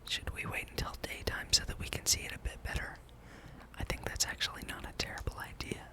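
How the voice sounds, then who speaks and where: A person speaking in a breathy, unvoiced whisper, giving rambling, playful ASMR commentary while playing a video game. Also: fast. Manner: hushed, playful musing, reads game dialogue aloud. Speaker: gameplay streamer.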